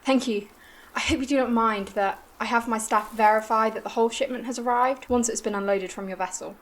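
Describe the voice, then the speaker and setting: A woman's voice: on the high side, fairly even intonation, brisk, close to an everyday voice. A woman playing a gracious but harried colony administrator in a science-fiction audio drama, formal and courteous.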